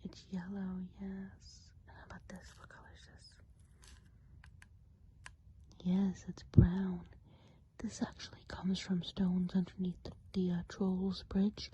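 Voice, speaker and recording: A woman playing a kindly, chatty witch of the woods in a whispered ASMR role play, speaking gently and without hurry in a soft, breathy whisper. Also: lowish voice, completely flat delivery, highs cut off, a little hiss or hum, lightly acted. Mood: attentive. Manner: gentle, unhurried, chatty, hesitant asides.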